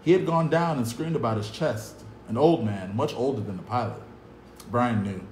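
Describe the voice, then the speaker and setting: A man's voice: mid-range voice, moderate pace, darkish tone. A man in his thirties reading a novel aloud for kids, earnest and dramatic, recorded on a phone at a table indoors. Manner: earnest, dramatic, upbeat at the close. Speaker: youth club staff reading a novel aloud. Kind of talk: read-aloud storytelling for kids. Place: cinderblock room at a table, indoors. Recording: echoey bare room, faint hum.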